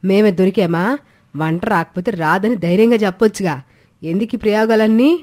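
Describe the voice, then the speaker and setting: A woman voicing a sharp-tongued, domineering older mother-in-law in a storybook tale. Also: medium pitch, a little fast, natural voice. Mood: scolding. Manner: scolding, bossy. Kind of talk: Telugu storybook voice acting.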